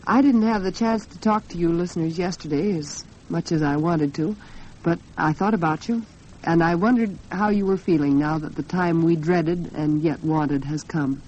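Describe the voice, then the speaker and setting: A woman's voice: fairly low pitch, moderate pace, darkish tone. An American woman hosting a 1940s radio talk program, speaking conversationally and straight to her listeners. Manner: conversational, addresses listeners directly. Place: radio studio. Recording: muffled, noisy 1940s radio broadcast recording.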